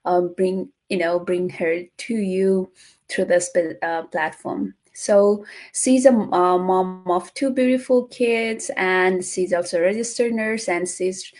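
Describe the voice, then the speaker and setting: A middle-aged woman from Nepal hosting a live online talk show, speaking haltingly with many fillers and restarts. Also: fairly low pitch, fairly even intonation, darkish tone. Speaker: host.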